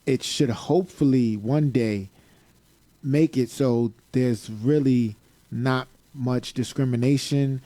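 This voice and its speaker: A man on a podcast talks in a reflective, measured way with a mellow, warm tone. His voice sounds close and dry, with no room around it. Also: medium pitch, no room sound. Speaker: podcast co-host. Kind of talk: casual podcast discussion.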